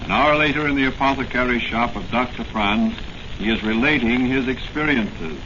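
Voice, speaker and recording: A man's voice: medium pitch, normal speed. Male vintage radio announcer, muffled and noisy. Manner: dramatic, formal. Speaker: announcer and narrator. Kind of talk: 1940s radio serial narration.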